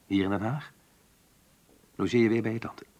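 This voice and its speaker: An actor playing an earnest, troubled married man in love in a radio drama, speaking in a measured, confessional way. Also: fairly low pitch, fairly even intonation, a little mellow, no room sound, lightly acted. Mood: pleased, tentative.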